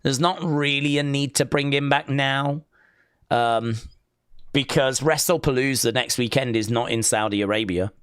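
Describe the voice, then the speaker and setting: A man's voice: medium pitch, normal speed, a bit bright, smooth, dry recording, studio quality. A man hosting a live wrestling stream, chatting loosely and reading out chat, his voice weak as if from a cold. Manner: rambling, hesitant, reads chat aloud. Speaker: wrestling watch-along streamer.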